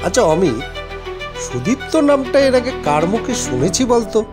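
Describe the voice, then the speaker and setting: A man's voice: high voice, big pitch swings, moderate pace, music in the background, voice pushed up. A male narrator voicing a shrewd, impatient private detective with an emphatic, questioning manner in an audio story. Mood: probing.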